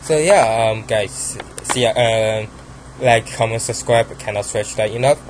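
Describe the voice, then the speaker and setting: A man's voice: fairly low pitch, a little slow, a little hiss or hum, rough recording. A young man with a Chinese accent, talking casually and hesitantly about his card game deck, with many ums and restarts. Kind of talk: casual deck profile monologue.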